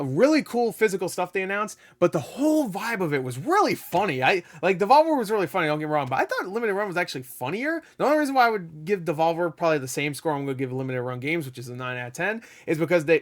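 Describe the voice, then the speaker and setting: Man, slightly high voice, excitable and rambling, casual game review host, a bit raspy. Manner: excitable, rambling, laughs often, swears. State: voice getting raspy. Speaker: gaming video host.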